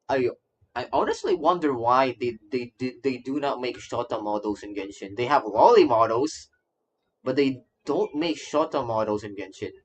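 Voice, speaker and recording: A man casually commenting on a game he is streaming, stammering and repeating his phrases as he rambles. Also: mid-range voice. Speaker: streamer reacting to a game story. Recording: game music behind the voice. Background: game music.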